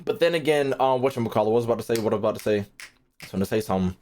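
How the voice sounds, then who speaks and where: A man's voice: medium pitch, rapid-fire, a little mellow, a little hiss or hum. A man thinking aloud as a gaming streamer, casual and slangy, swearing freely, repeating phrases and trailing off mid-thought.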